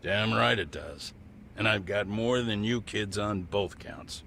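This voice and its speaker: Gravelly old lawyer, American. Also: male voice, fairly low pitch, unhurried, sharp tone, a little hiss or hum, exaggerated voice. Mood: blunt. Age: older. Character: seasoned old male lawyer, blunt and nostalgic. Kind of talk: English video game dub acting.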